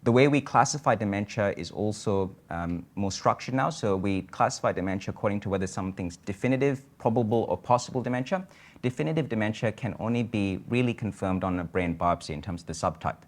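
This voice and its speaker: A man giving a conference lecture on a medical topic, explaining in a measured way with frequent filler sounds. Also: lowish voice.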